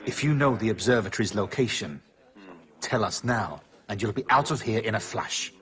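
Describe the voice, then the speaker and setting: A man's voice: lowish voice, moderate pace, a bit bright, natural voice. A man playing a cold colonial-era interrogator in a game, speaking in a clipped, formal way. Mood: stern. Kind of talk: dramatic game cutscene acting.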